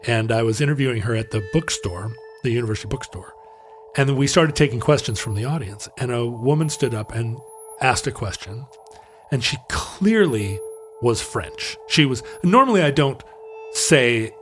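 A middle-aged man, co-hosting a podcast and telling stories casually in a halting, wry way. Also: mid-range voice, lively intonation, fairly bright tone, soft background music. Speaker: podcast co-host. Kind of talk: casual conversational storytelling.

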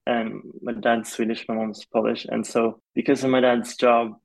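Gravelly-voiced young man chatting loosely. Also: medium pitch, normal speed, low-quality recording. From Malaysia. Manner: relaxed, rambling with many "like" fillers. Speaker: film composer, guest. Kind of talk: casual interview chat.

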